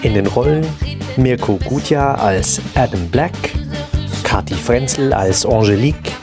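A male announcer reads scripted credits at a slow pace. His pitch is in the middle range. Plucked guitar music plays loudly behind him. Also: animated. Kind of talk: scripted narration.

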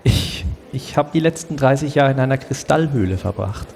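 A man playing a sheepish ex-show host turned crystal dealer in an improvised comic radio play, halting and defensive. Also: mid-range voice, animated, creaky, darkish tone. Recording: echoey hall, live stage.

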